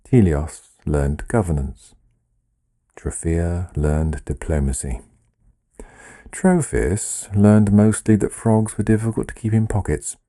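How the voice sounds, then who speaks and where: A man reading a literary story as scripted narration, in a measured, deliberate and wry manner. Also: sits low, mellow. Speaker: author reading own story.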